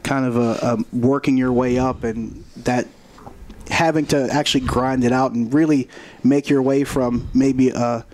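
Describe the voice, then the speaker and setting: A man's voice: medium pitch, fairly even intonation, unhurried, a little mellow, slight background noise. A man hosting a casual radio interview in a studio, with a joking, conversational manner. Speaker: radio host.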